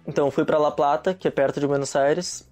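Young guy w a warm mellow voice, bit on the higher side, chill slangy storytelling, soft music behind. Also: fairly even intonation, fast. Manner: easygoing storyteller, slangy, laughs often. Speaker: guest. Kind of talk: casual chat.

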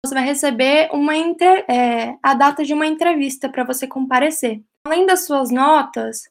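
High-voiced chatty woman. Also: normal speed. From Brazil. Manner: chatty, explains at length with frequent "né?" and light laughs. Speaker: guest, professional ballerina living in England. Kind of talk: casual interview answers.

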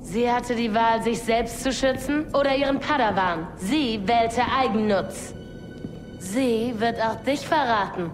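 A woman playing a menacing, bitter female dark-side inquisitor in an audio drama, with a taunting, deliberate delivery. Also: fairly high pitch, over music, close to an everyday voice.

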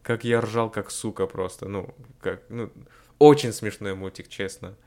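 A young man chatting casually as a podcast host, slangy and a little profane, often halting and repeating his phrases. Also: on the low side, fairly even intonation, darkish tone.

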